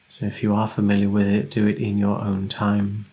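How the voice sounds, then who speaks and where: A man guides a meditation in a fairly low voice. His intonation stays very flat and steady. He gives gentle, unhurried instructions and leaves long pauses between phrases. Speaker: meditation teacher.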